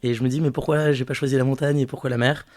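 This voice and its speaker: A man in his twenties from French Guiana, a podcast guest who talks casually and hesitantly, pausing often as he thinks aloud. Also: medium pitch, steady tone, a little mellow, no room sound. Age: 20s. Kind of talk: casual interview testimony.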